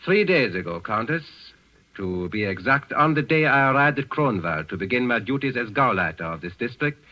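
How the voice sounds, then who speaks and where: An actor playing a smug, menacing German district governor in a 1940s radio drama, brisk, formal and self-important. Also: male voice, mid-range voice, animated, close to an everyday voice. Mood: stern. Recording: dull vintage radio broadcast.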